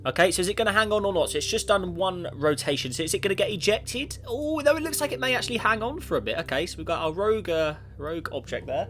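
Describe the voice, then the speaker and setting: A man's voice: sits high, animated, quick pace, few pauses, a bit bright. A man with a cold, chatting casually as he plays a space simulation game, rambling and talking back to the game. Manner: rambling, self-correcting, talks to the game. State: has a cold, sniffly. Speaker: gaming YouTuber. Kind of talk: casual gameplay commentary. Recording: clear background music. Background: background music.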